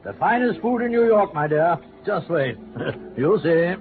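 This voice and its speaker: An avuncular, effusive colonel and genteel crook in a vintage radio thriller. His voice swoops in a soothing, fawning way over clear background music on a tinny recording. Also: a little high, big pitch swings, a little slow. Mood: genial, soothing. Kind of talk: 1940s-50s radio drama acting.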